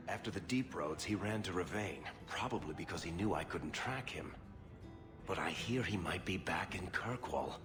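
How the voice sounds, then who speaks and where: A man voicing a wry, sardonic male dwarf merchant in a video game cutscene. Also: low-pitched. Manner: sardonic, dry humor. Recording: game cutscene with music behind.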